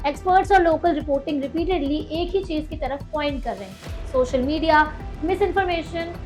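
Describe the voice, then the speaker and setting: A woman with an Indian accent presenting a scripted news explainer as host, with dramatic, emphatic delivery. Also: high voice. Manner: dramatic, emphatic, posing rhetorical questions. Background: music bed.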